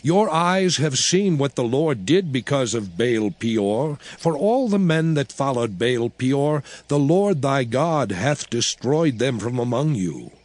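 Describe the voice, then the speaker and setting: Slow, solemn commanding biblical prophet. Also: male voice, mid-range voice, big pitch swings, a little mellow, dry recording. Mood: solemn, exhorting. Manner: solemn, oratorical, measured, emphatic. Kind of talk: dramatized scripture reading. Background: music.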